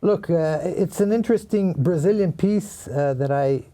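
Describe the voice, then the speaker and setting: A man's voice: a little high, mellow. Earnest man with a delivery. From Italy. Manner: earnest, slightly halting non-native phrasing. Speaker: guest violinist. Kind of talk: studio interview answers.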